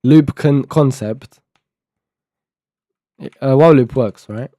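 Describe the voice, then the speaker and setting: A man's voice: medium pitch, slow pace, warm tone, dry recording, clean studio sound. An eighteen-year-old man streaming live, thinking aloud and half-reading documentation to himself as he codes, with a sore throat. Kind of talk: casual live-stream talk while coding.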